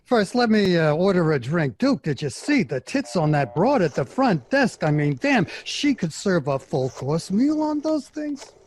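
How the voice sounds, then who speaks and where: A man's voice: sits high, big pitch swings, measured pace, few pauses, a little mellow, no echo, exaggerated voice. A man playing a crude, pushy publicist with a leering carnival barker's patter in an audio drama. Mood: brash, crude. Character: brash, crude, pushy male publicist and showman. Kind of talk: audio drama acting, 1960s show-business patter.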